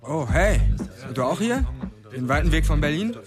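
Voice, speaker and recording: A man's voice: fairly high pitch, sing-song, moderate pace, over loud music. An actor playing a brash, clingy, tipsy small-town man, teasing and blunt in a naturalistic radio drama. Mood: teasing, jovial.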